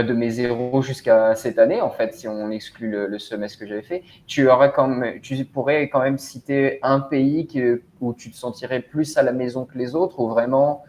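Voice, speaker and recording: A man's voice: medium pitch, darkish tone. A man from western France co-hosting a casual discussion, asking long, winding questions in a conversational way. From Charente-Maritime, France. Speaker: co-host.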